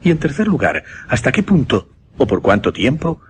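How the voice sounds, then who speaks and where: An actor playing an earnest gentleman mesmerist in a suspense radio drama, formal and deliberate. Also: mid-range voice, wildly swooping pitch, slight background noise. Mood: grave, intent. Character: earnest gentleman mesmerist recounting his uncanny experiment.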